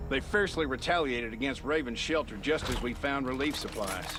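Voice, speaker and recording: A man's voice: medium pitch, over music, lightly acted. A man voicing a grim shelter commander. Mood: grave. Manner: grave, measured briefing. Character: serious male shelter commander, steady and grim. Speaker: shelter leader giving a briefing. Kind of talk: game cutscene voice acting.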